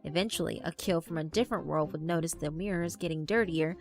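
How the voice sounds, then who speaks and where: A woman's voice: low voice, quick pace, faint music behind. A woman reading dramatic scripted narration for a visual novel.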